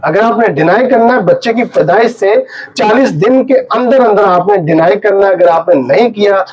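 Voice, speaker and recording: A man's voice: sits high, lively intonation, moderate pace, a crowd in the background. A man with a Pakistani accent giving an informal legal lecture as an advocate, explaining and emphatically repeating his key points. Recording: dull, lo-fi muffled audio.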